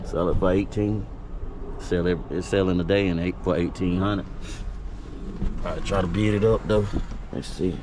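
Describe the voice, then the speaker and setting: Man, lowish voice, laid-back slangy car-lot vlogger, loud outdoor noise. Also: fairly even intonation, normal speed, long pauses. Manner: laid-back, slangy, talks to viewers as "y'all". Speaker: auto broker. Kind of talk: casual walkaround vlog. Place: outdoor auto auction lot, in and around cars. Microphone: body-worn action camera.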